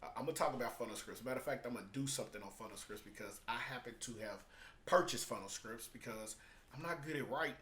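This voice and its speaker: A man's voice: mid-range voice, quick pace, fairly bright tone, slight background noise. A man talking casually and off the cuff as he reviews and explains, in an emphatic, repetitive, colloquial way. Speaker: vlogger reviewing a business book.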